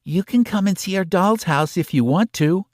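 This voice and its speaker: A man voicing a kind, eager young girl in a storybook reading, in a high, light, childlike voice. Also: moderate pace, no room sound, studio quality, lightly acted.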